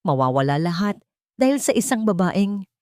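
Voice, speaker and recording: A woman narrating a scripted romance story in a measured, dramatic way, phrase by phrase. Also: medium pitch, sing-song, a little mellow, no echo, clean studio sound.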